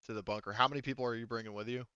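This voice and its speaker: A man's voice: mid-range voice, steady tone, rapid-fire, bright, forceful tone, clear, resonant tone, no room sound, muffled recording. A man acting as game master of a tabletop sci-fi roleplaying game, improvising and thinking aloud in a casual way.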